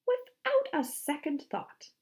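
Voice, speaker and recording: A woman's voice: mid-range voice, sing-song, moderate pace, a little mellow, voice pushed up. A woman voicing a vain, pompous and reluctant male musk ox in a storybook, with a grandiose, put-upon manner. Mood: boastful.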